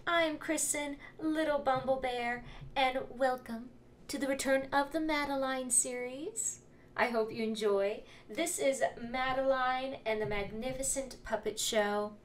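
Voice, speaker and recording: A woman's voice: sits high, unhurried, a little hiss or hum. A woman with an enthusiastic, friendly manner doing an upbeat channel intro as a let's play host.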